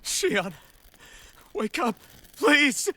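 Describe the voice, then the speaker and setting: A man playing an earnest, protective young swordsman hero in a video game, with a halting way of talking. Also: very high voice, big pitch swings, bright, forceful tone, heavily acted. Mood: desperate. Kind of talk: dramatic video game acting. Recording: music under the voice.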